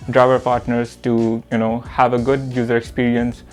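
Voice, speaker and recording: A young man from Pakistan introducing himself and his work as a product manager, talking in an earnest, measured way with frequent pauses. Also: mid-range voice, monotone, soft background music. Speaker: product manager and course instructor. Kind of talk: reflective self-introduction to camera.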